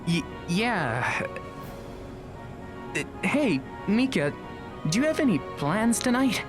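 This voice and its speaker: A woman's voice: mid-range voice, big pitch swings, takes it slowly, music in the background. A woman playing a shy, polite teenage schoolboy in an audio drama, hesitant and prone to stammering. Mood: shy, nervous.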